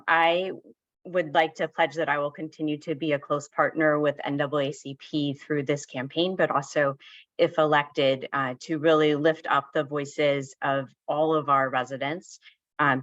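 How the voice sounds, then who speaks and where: A woman candidate giving measured, polished statements over a video call. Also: low voice, a bit bright, dry recording, lo-fi sound. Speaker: county board candidate.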